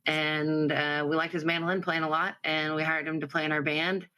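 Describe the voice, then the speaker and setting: Low-voiced, bright-toned woman musician. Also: level tone, fairly quick, poor audio. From Alaska. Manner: laid-back storytelling. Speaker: musician guest. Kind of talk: casual interview chat.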